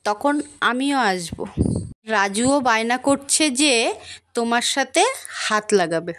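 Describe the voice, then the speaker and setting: A woman's voice: a little high, expressive, unhurried, a bit bright. A woman voicing a practical, caring and slightly fretful village wife and mother in a cartoon. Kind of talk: cartoon dialogue. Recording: long reverb on voice.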